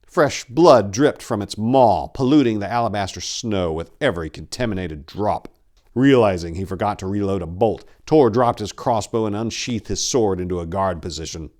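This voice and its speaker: Male narrator, deep pitch, grave, intense, theatrical, dark fantasy horror audiobook. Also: normal speed.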